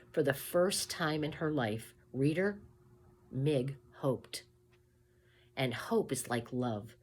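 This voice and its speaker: A woman reading a children's story aloud expressively, with deliberate emphasis, addressing the listener directly. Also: sits low, slightly rough.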